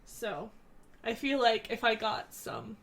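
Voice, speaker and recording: A woman's voice: on the high side, big pitch swings, takes it slowly, creaky, fairly bright tone. A young adult woman co-hosting a podcast in easygoing, giggly casual chat, her voice a little scratchy, over a remote call. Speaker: podcast co-host. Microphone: remote call, old headphones.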